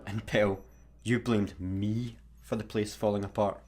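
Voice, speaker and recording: A man's voice: on the low side, flat delivery, unhurried, darkish tone. A man playing a grumpy, exasperated space satellite worker in a sci-fi comedy radio play, with a sarcastic, grumbling manner. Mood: irritated.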